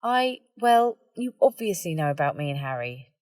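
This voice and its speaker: A woman's voice: deep voice, very animated, normal speed, a little mellow, no echo. A woman voicing a frank, nosy, caring young witch in an audiobook, talking informally, both hesitant and blunt. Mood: awkward, hesitant. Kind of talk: audiobook character dialogue.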